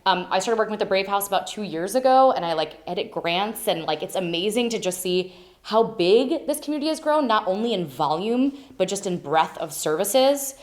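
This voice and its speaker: A woman who chairs a nonprofit board, speaking loudly and chattily to an event audience. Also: mid-range voice, animated, fairly quick. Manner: loud, chatty, grateful. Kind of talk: informal speech to an audience. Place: indoor event space with audience.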